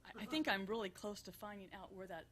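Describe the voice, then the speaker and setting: A woman's voice: mid-range voice, expressive, fast talker, crowd noise, natural voice. A woman playing an earnest, busy and exasperated grown daughter in a live comedic radio show, with emphatic theatrical delivery. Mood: insistent. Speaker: stage performer in a benefit show.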